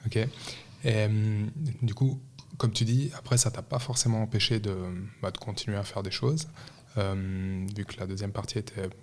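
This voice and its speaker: A man's voice: lowish voice, flat delivery, normal speed, a little mellow. A man hosting a podcast in a casual, conversational way. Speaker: podcast host. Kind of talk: casual podcast intro and interview.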